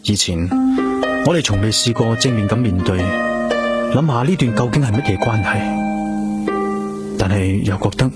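An actor with a Hong Kong Cantonese accent playing an earnest, introspective police detective in a radio drama. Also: male voice, lowish voice, normal speed, pauses often, over loud music, close to an everyday voice. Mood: tender, nervous. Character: earnest, driven male police detective in love. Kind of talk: radio drama acting with inner narration.

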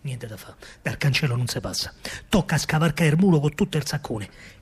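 An actor playing a coaxing, protective working-class man of wartime Rome, narrating colloquially in a husky voice with a Roman accent, as in a radio drama. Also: on the high side, fairly quick. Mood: tense, alarmed. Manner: colloquial, animated storytelling. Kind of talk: dramatic first-person radio monologue in dialect.

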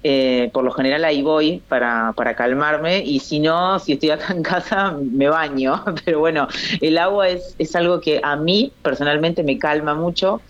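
A woman from the south of Córdoba province who talks with a Santa Fe accent. Her voice sits low, and she chats easily, doubling back over her words with fillers. The sound is dull and lo-fi. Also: moderate pace. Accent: Santa Fe Argentine Spanish. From Córdoba province, Argentina, near Santa Fe. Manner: chatty, hesitant, many restarts and fillers. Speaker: singer-songwriter guest. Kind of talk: phone interview, casual chat.

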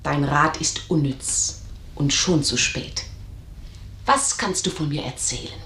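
A woman's voice: sits low, slow, sharp tone, heavily acted. An actress in a German radio drama playing a passionate young woman, impatient and fiercely defiant. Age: young adult. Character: defiant, passionate young daughter of a strict household. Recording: roomy, echoing radio drama recording.

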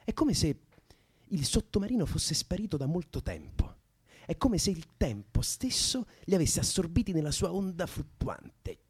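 A man's voice: on the high side, big pitch swings, normal speed, a little mellow, slightly rough. An actor playing a young man in a radio drama, soothing a distressed woman with colloquial, improvised-sounding stories. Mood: absorbed storytelling. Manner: coaxing, colloquial, imaginative. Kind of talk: Italian radio drama acting.

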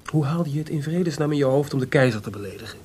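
An actor playing a frank, sensible composer in a radio drama, with a husky voice and a plain-spoken, reproachful manner. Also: male voice, fairly high pitch, animated, quick pace, a little mellow, slight background noise, close to an everyday voice. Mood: worried. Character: frank, sensible composer, disappointed but loyal friend.

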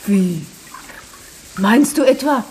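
An actress playing a thoughtful woman, musing and quoting slowly in a 1950s radio drama. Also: mid-range voice, expressive, a little mellow, slight background noise. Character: Fatime, thoughtful woman recalling a prophet.

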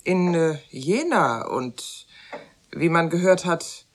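A woman's voice: fairly low pitch, expressive, takes it slowly. An actress playing a sceptical, mocking society lady who drawls pointed questions and gossip in a radio drama. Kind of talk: German radio drama acting.

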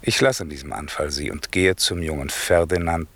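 A man's voice: a bass voice, moderate pace, fairly bright tone, exaggerated voice. An actor playing a stern, commanding old magician and father in a radio play, speaking solemn, declamatory verse. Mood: solemn triumph.

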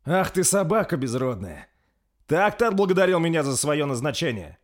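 A man's voice: mid-range voice, animated, measured pace, pitched above the performer's own voice. A man voicing an arrogant, hot-tempered young prince who barks out orders in a storybook reading. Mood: furious. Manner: imperious, shouting orders.

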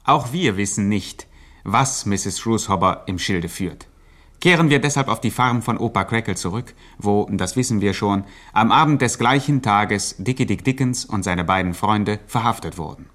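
A man narrating a scripted satirical radio play, deadpan and mock-learned. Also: lowish voice, moderate pace. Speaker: second narrator.

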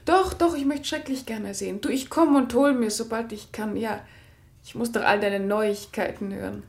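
Radio play: gracious, eager lady of the house, high-pitched, effusive, conversational. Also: moderate pace, vocal fry, a little mellow. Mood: eager, chatty. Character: gracious, chatty married country lady, eager and obliging. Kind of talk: German radio play acting.

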